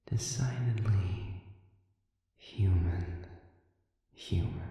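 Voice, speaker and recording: Suave male demon, acted by a man, audio roleplay, dark low voice, measured, silky, manipulative, little pitch movement, distant in an echoey space. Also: highs cut off. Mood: amused, disdainful. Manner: slow, measured, silky and condescending. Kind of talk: scripted audio roleplay monologue. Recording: very echoey reverberant room, distant.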